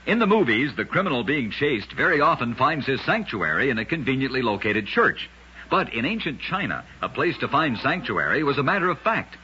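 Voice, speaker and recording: A man narrating scripted oddities as an old-time radio host, with a dramatic, emphatic delivery and deliberate pauses. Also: mid-range voice, sing-song, pushed and bright, slight background noise. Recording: dull, lo-fi old radio recording.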